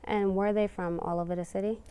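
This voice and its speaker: A woman interviewing a guest, asking measured, slightly hesitant questions. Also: medium pitch, fairly even intonation, warm tone, clear, resonant tone.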